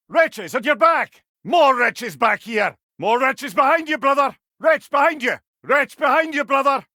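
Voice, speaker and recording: A man voicing a sharp old Scottish companion in a video game, calling out clipped, urgent battle warnings. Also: very high voice, no room sound, clean studio sound, exaggerated voice. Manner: urgent, clipped, projected shouting.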